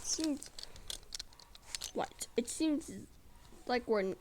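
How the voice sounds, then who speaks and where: Female improviser, slow and hesitant, repetitive rambling narration, slightly high pitch, faintly noisy recording with clicks. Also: expressive. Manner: halting, repetitive, searching for words.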